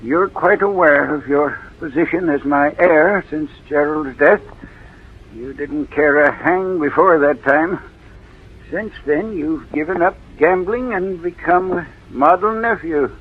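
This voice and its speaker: An actor playing a sly, ailing old uncle in 1940s radio drama, deliberate and needling. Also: male voice, on the high side, breathy edge. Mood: sardonic. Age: older. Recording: muffled old radio recording, narrow band.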